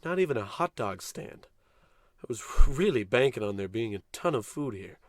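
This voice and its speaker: An actor playing a pompous, dim, blustering spaceship captain in an old-time radio comedy, commanding and self-important. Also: male voice, medium pitch, very animated, moderate pace, no room sound, studio quality, natural voice.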